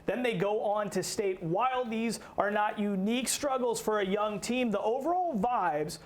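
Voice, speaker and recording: A woman's voice: medium pitch, normal speed, a little mellow. A woman presenting a sports talk show as host, introducing segments and putting questions to her colleagues.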